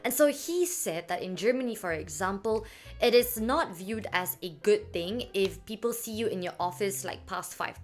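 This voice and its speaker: A young woman talking casually to camera about her work experience, filling her speech with "like" and "um". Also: mid-range voice. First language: not French. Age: young adult. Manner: chatty, many "like" fillers. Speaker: vlogger.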